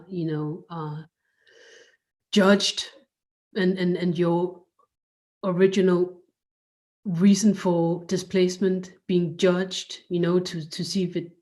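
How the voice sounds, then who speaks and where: Female curator as interview guest: unhurried, reflective, searching for words, relaxed lowish voice. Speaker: curator and art space director, guest.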